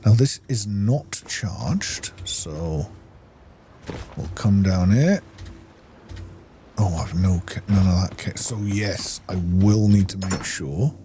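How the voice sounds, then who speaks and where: Male gamer, unhurried delivery, casual think-aloud muttering, clearly noisy recording. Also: low voice, mellow. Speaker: gaming video host. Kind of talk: casual gameplay commentary, talking to himself.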